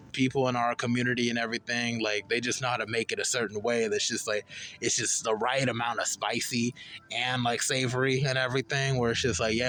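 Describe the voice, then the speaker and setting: A man's voice: mid-range voice, a bit bright. A young man from Liberia hosting a podcast, easygoing and rambling in casual chat. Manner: easygoing, rambling, lots of fillers. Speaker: podcast host.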